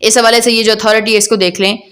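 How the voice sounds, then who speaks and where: Female law lecturer, Pakistani accent, higher-pitched voice, didactic delivery that reads passages and then explains them. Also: level tone, fast, fairly bright tone. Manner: didactic, repeats points and checks understanding with questions. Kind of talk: explanatory lecture, reading case law and paraphrasing it.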